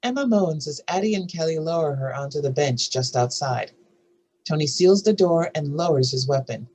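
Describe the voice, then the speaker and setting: A woman with a husky voice reads scripted narration and stage directions for a drama table read. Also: sits low, expressive, moderate pace, dark tone.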